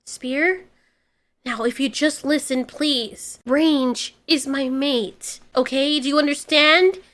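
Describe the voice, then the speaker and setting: Fierce female predator creature, acted by a woman: high, forceful voice, emotional, imploring, audio story. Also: takes it slowly. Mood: pleading. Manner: pleading, shouting, commanding.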